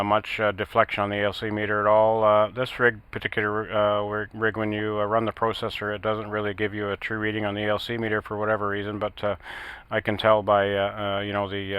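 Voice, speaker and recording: A man with a bright, clear voice talks shop as a ham radio operator. His delivery is halting and matter-of-fact, with very little melody, in a casual technical style. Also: on the low side, dry recording. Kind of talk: casual technical radio chat with test counts.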